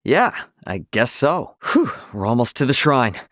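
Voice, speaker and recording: A man's voice: mid-range voice, very animated, unhurried, fairly bright tone, no room sound, studio quality. A man playing a brash, casual young video game adventurer, slangy and wry, impatient but good-hearted. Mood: casual, focused. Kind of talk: video game character voice lines.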